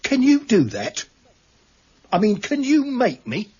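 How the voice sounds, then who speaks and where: Male slippery small-time dealer, unhurried, very changeable pitch, evasive and oily; radio crime drama, dull sound. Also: huge pitch swings, warm tone, husky, exaggerated voice. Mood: evasive, uneasy. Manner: evasive, hesitant, ingratiating. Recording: dull, noisy radio broadcast copy.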